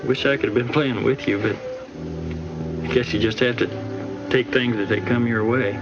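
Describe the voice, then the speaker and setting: A young man plays a wholesome, bedridden school athlete in a 1950s educational film. He is boyish, sincere and gracious, at a middling pitch. Music plays clearly behind the muffled soundtrack. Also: steady tone, moderate pace, lightly acted. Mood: grateful, wistful.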